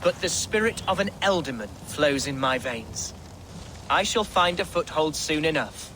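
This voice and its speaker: Bright, earnest young Saxon nobleman. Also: on the high side, lively intonation, measured pace, a little hiss or hum, poor audio. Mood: self-important. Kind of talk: video game cutscene acting.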